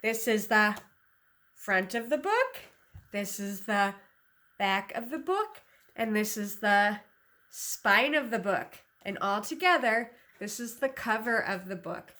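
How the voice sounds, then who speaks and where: A woman teacher reading a picture book aloud to young children in an animated, instructive way, asking questions as she goes. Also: mid-range voice. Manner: instructive, questioning, slow deliberate phrasing.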